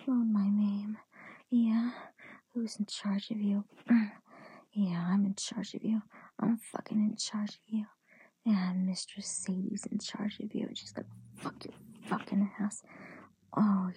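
Adult woman for intimate audio roleplay: very husky, breathy whisper with fry, commanding and teasing delivery. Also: medium pitch, warm tone, no room sound, low-quality recording. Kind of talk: whispered erotic audio roleplay, addressing the listener.

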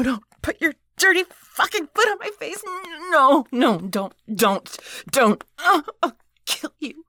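A woman playing a defiant, domineering female boss in an adult audio drama, with breathy delivery that often drops to a hush. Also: high-pitched, no echo, full character voice. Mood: distressed, defiant. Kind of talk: erotic audio roleplay acting.

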